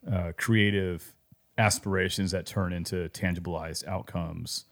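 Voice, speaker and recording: Deep-voiced man thinking aloud. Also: steady tone, unhurried, creaky. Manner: searching, circling phrases, bursts of emphasis. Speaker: podcast co-host. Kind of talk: casual podcast chat.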